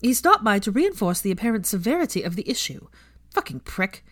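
A woman's voice: medium pitch, sing-song, brisk, voice pushed up. A woman voicing a harried young witch teacher with strained, forced cheer and a wry, evasive manner. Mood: weary, bitter.

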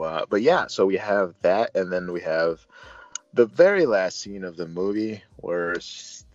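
A man's voice: low-pitched, highs cut off. A man hosting a casual movie review, talking in a joking, rambling way.

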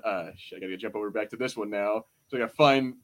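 A man in his twenties co-hosting a podcast, chatting casually in a rambling, animated, sweary way. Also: lowish voice, fast. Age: 20s. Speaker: podcast host. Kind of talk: casual panel chat reviewing a show.

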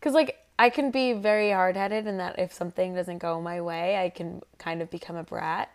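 A woman's voice: on the low side, steady tone, normal speed. A young woman co-hosting a podcast in casual, rambling conversation, her voice a little worn after losing it. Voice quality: slightly raspy from lost voice. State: voice worn from shouting and singing. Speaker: podcast co-host.

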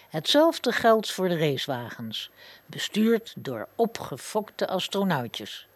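Female host, scripted radio intro. Wry, animated intonation, dry studio sound. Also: on the low side, no room sound.

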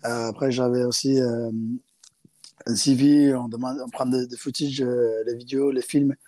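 A man's voice: mid-range voice, level tone, normal speed, dark tone, no echo, low-quality recording. Hesitant South African man speaking French. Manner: hesitant, many fillers and restarts in second-language French. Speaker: interviewee, rugby player. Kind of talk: casual interview.

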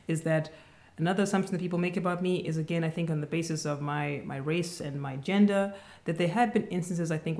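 A woman co-hosting a casual podcast chat, speaking in a calm, measured and reserved way. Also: lowish voice. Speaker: podcast co-host.

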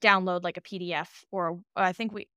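Woman, very clear bright voice, dry close sound, casual podcast guest, animated storytelling. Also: lowish voice, fairly quick, no echo. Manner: animated storytelling, acts out imagined dialogue. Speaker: podcast producer, interview guest. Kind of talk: casual interview chat.

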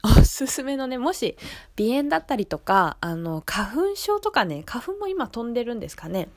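A woman's voice: a little high, very animated, normal speed, no room sound. A young woman with a slightly stuffed-up, nasal voice, chatting politely and casually as the host of a radio show. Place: radio studio.

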